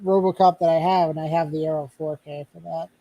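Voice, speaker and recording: A man's voice: fairly high pitch, steady tone, fast, warm tone, dull audio. A man hosting a casual livestream chat, joking around, laughing often and stumbling over his words. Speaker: streamer host.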